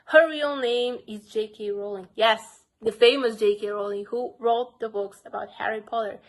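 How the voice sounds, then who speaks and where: Woman, young adult, Bulgarian accent, higher pitch, earnest simple phrasing, casual vlog. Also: moderate pace, rough recording. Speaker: book vlogger. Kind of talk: casual book review vlog.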